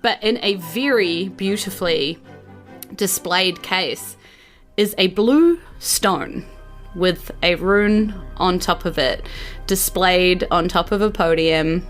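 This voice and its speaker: A woman with a New Zealand accent hosting and narrating a tabletop game in an informal, animated storytelling manner. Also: on the low side, takes it slowly, faint music behind. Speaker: game master and host.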